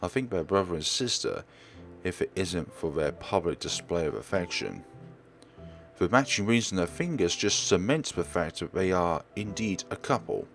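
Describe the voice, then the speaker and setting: Male let's player, lower voice, animated narration of a horror visual novel, occasional self-corrections, music underneath. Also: moderate pace. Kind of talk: narrated reading with asides.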